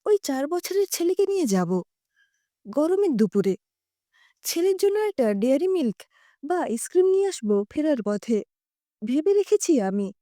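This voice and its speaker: A woman narrating a story in an expressive first-person storytelling style. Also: high-pitched, moderate pace, pauses often, no room sound, clean studio sound.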